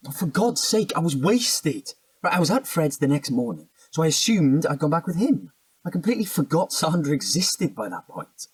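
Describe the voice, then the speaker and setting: An actor playing an evasive, defensive cheating husband in a naturalistic audio drama, protesting and stammering when cornered. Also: male voice, fairly high pitch, very animated, moderate pace, breathy edge, dry recording, recorded from a distance, rough recording. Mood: exasperated.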